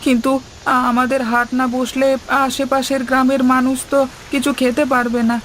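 A man's voice: extremely high pitch, monotone, moderate pace, a bit bright, slight background noise. A man playing a humble, earnest villager with a hesitant, deferential manner in a cartoon.

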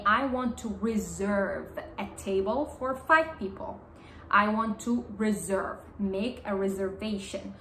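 A young woman whose first language is Arabic, speaking straight to the camera as an English teacher and repeating key phrases slowly and clearly. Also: fairly high pitch. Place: small bright indoor room. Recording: roomy indoor sound with faint room hum.

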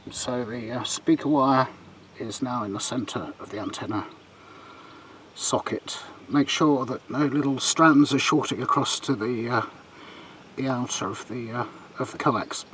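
An older man presenting an informal hands-on tutorial outdoors, explaining in a halting way with hesitant pauses. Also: on the high side, noisy background. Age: older, about 60s. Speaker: presenter of a radio demonstration. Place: outdoors in a garden beside a field.

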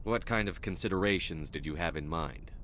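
An actor playing a tough, no-nonsense military commander in a video game, speaking in a terse, hard-edged, controlled way. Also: male voice, deep voice, fairly quick, a bit bright, a little hiss or hum. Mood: curt, controlled.

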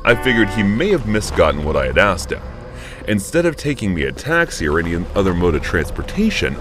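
A man narrating a first-person horror story in a studio voice-over, jumping up when indignant and going low and hushed in tense moments, over background music. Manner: expressive, pitch jumps up on indignant lines, drops low and quiet in tense moments.

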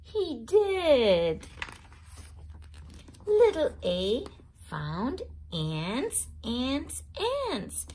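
A woman reading a children's picture book aloud as the channel's host, slowly and with clear stress on each word. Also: sits high. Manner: slow, clearly stressed storytelling.

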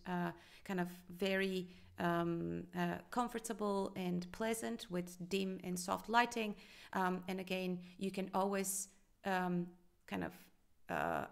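A woman's voice: lowish voice, creaky. A woman in her thirties giving casual commentary as a restaurant consultant, thoughtful and full of fillers, recorded at a desk. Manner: hesitant, many fillers, thoughtful. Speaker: restaurant consultant reviewing a design.

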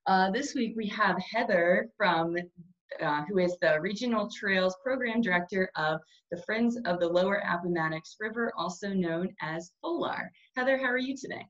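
A woman's voice: medium pitch, normal speed. A woman, hosting a video-call interview in a cheerful, encouraging way from a small plain room. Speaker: host. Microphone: webcam on a video call. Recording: dull lo-fi webcam sound.

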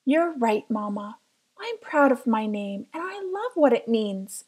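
A woman's voice: a little high, very animated, measured pace, a little mellow, husky, exaggerated voice. A woman voicing a shy but proud young schoolgirl in an earnest, emphatic storybook read-aloud. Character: shy young schoolgirl finding pride in her name.

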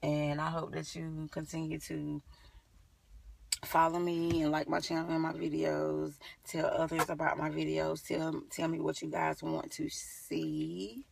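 A woman's voice: low-pitched, little pitch movement, measured pace, gravelly, a little hiss or hum. A young woman talking casually to camera as a beauty vlogger, informal and rambling as she thinks aloud. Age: young adult. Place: inside a parked car. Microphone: phone at arm's length. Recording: slightly distant phone recording.

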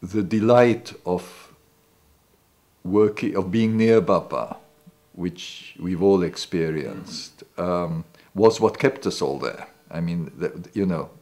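A man's voice: deep voice, slow, a little mellow. A man in his sixties, not a native English speaker, recalling the past in a seated interview in a living room, hesitant with many restarts. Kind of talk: reflective recollection. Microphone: off-camera, seated interview.

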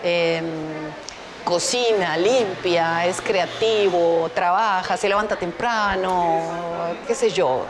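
A woman's voice: on the low side, slow, crowd noise. A woman in her fifties from Uruguay, with a Uruguayan Spanish accent, speaking as a guest in a TV studio interview on a lapel mic. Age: 50s. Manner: lively, animated, laughs easily, gestures with hands. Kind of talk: TV interview, casual chat. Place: TV studio with stone backdrop.

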